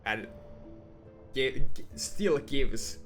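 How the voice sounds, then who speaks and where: A man's voice: medium pitch, expressive, extremely slow, fairly bright tone. Young male gamer, hesitant and thoughtful, middle voice, faint music behind. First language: Finnish. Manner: hesitant, repeats and restarts words, thinks aloud. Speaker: gaming YouTuber. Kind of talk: lore-focused game commentary.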